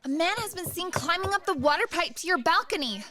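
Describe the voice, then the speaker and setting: Woman as high-voiced plain-spoken maid. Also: lively intonation, brisk, pushed and bright, full character voice. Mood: alarmed. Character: young maidservant of the house, plain-spoken. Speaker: actor in a student radio play. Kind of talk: radio play acting.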